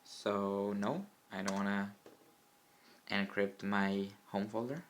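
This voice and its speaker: Young Czech-accented man, low voice, rambling how-to commentary, lo-fi phone mic with faint room noise. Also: steady tone, takes it slowly. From Czech Republic. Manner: rambling, self-correcting, mutters asides to the camera. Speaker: tech hobbyist demonstrating an install. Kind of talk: casual tutorial commentary. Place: indoor room at a desk by a window. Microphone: old smartphone camera mic.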